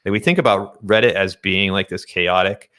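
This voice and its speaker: A man talking casually as an interview guest about finance and tech, halting as he thinks aloud. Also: low-pitched, bright, forceful tone, clear, resonant tone. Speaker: guest, day trader.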